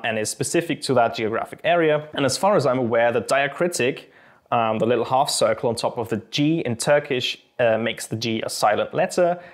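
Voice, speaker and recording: A young Austrian man speaks English with a British-tinged accent over his native German, at a medium pitch. He answers viewer questions in a friendly, thoughtful way, pausing often with small ums. It sounds like a relaxed chat from a channel host. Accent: Austrian German mixed with British English. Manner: friendly, thoughtful, a little hesitant with frequent ums. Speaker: channel host and fencing instructor. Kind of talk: casual Q&A to camera.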